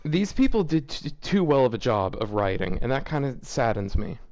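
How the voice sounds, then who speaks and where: A man's voice: medium pitch, very animated, normal speed, a little mellow. A man casually reading fan fiction aloud and commenting on it, often breaking into incredulous laughter and groans.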